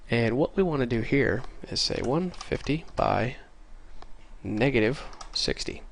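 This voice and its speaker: A man with a congested voice from a cold, casually talking through a programming tutorial and getting excited over small things. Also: medium pitch, unhurried, creaky, a little hiss or hum. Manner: casual, easily excited, chatty. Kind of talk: screen-recorded coding tutorial. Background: faint keyboard typing.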